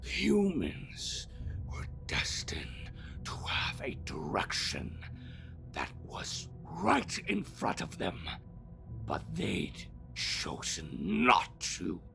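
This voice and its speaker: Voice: deep voice, wildly swooping pitch, drawn-out words, gravelly, a bit bright, faint music behind, full character voice. An actor playing an ancient, sinister immortal storyteller in an audio drama, theatrical, bitter and mocking, drawing out riddles and slipping into hissing whispers. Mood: mocking, silky.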